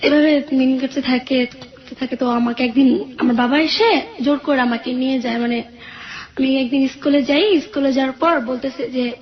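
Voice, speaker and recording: An 18-year-old woman telling her own life story in a radio interview, hesitant and soft-spoken. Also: high-pitched, fairly even intonation, moderate pace, lo-fi sound. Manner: hesitant, soft-spoken, with frequent "mane" fillers.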